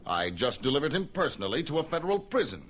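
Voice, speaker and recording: Guy doing a resolute superhero newsman, sharp husky voice, authoritative cartoon delivery. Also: a little high, very animated, fast. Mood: firm, dutiful. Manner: firm, declarative.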